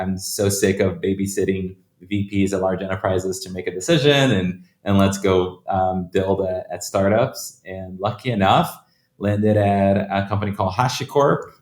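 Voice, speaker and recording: Deep-voiced man in an echoey room. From Brazil. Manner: loose and conversational, often repeats words while restarting. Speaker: guest, startup founder. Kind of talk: casual interview answers.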